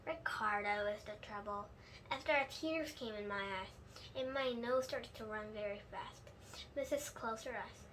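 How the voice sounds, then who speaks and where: Female storybook reader: high-pitched, bright, expressive, noticeable background noise. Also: normal speed. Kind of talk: expressive children's book read-aloud.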